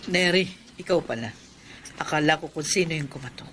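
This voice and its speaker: A vengeful widow sorceress, as an actor plays her speaks in a sharp, forceful voice. Her threats come slow and deliberate in a radio horror play. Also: mid-range voice, a little hiss or hum, lightly acted. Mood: cold. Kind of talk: radio horror drama acting.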